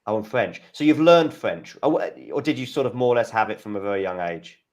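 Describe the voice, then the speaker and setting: A man hosting a casual tennis watchalong chat, talking in halting starts and restarts and reading out scores. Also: on the low side, lively intonation, a little mellow. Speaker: host.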